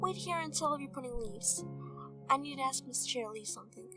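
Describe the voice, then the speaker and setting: A woman's voice: high voice, animated, a little fast, pushed and bright, soft background music, full character voice. A woman voicing an earnest, anxious little girl pony in a cartoon fan audio drama, hesitant but eager. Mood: hushed, anxious.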